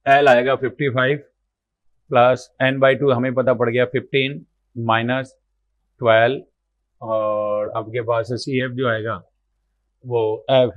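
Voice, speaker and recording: A man, slightly hoarse, teaching exam math problems step by step, repeating numbers as he works and asking himself questions. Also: mid-range voice, dry recording. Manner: explains aloud while writing, repeats numbers, asks and answers his own questions. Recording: dull lo-fi sound.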